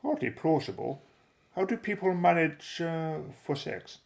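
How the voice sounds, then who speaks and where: A man playing an unflappable, methodical explorer and airship pilot in an audio drama, speaking in a measured, old-fashioned, explanatory way. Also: a little high, animated, gravelly. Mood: dry, decisive.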